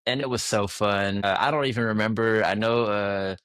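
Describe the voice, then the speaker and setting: A man answering as a guest in an interview, relaxed, laughing easily and restarting his sentences. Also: lowish voice, normal speed, smooth, no room sound, studio quality. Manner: relaxed, laughs often, many fillers and restarts. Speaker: guest music producer. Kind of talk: casual interview chat.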